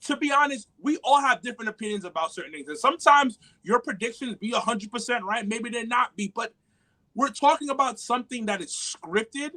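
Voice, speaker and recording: A man from New York with a New York accent, talking loud and profane as a podcast guest, laughing at himself. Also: very high-pitched, animated, fairly quick, low-quality recording. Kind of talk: casual chat.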